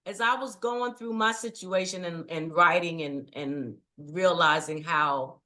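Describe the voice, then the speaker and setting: Middle-aged woman, low-ish voice, thoughtful and earnest storytelling. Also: unhurried, sharp tone. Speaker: guest, writing coach. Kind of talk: casual interview chat.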